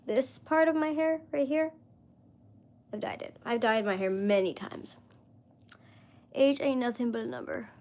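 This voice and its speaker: Mid 30s woman on a live stream, kinda high voice, rambly and chatty, says like a lot. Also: a little slow, long pauses, darkish tone. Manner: rambling, chatty, full of "like" fillers. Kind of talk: casual live-stream chat.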